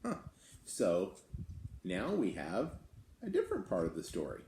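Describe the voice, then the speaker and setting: Animated man reading to children, roomy sound. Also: low-pitched, a little slow. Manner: expressive, explains and asks listeners questions. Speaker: children's story-time reader and host. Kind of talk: read-aloud with simple asides to children. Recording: roomy echo, some background noise.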